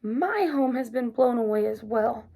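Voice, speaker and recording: A small, brave young male hedgehog from a storybook, earnest and eager, voiced by a woman. The voice sits a little high and has a gravelly grain under it. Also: lively intonation, a little slow, dark tone, full character voice.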